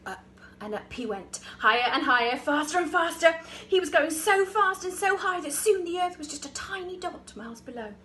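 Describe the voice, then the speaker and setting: A woman reading a children's story like an infant school teacher, expressive and with dramatic emphasis. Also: very high-pitched, normal speed, few pauses, a little hiss or hum.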